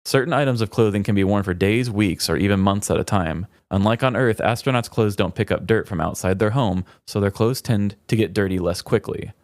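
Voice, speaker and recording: A man's voice: lowish voice, a little fast, few pauses, darkish tone, no echo. Man chatting on a podcast, dry close sound. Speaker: podcast co-host. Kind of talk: casual comedic chat, reading trivia aloud.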